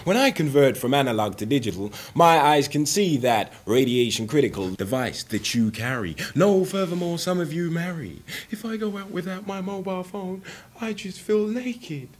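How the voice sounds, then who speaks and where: A man in his twenties performs spoken word poetry in a voice pitched a little above the average male. His delivery is rhymed and rhythmic. He phrases deliberately and dramatically, stretching out certain words. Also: expressive. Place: indoor room, seated on a sofa.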